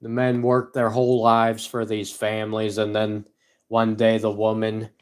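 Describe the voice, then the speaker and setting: A young man with a gruff voice, rambling cynically on his livestream and laughing between points. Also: fairly low pitch, monotone, measured pace. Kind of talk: casual solo livestream rant.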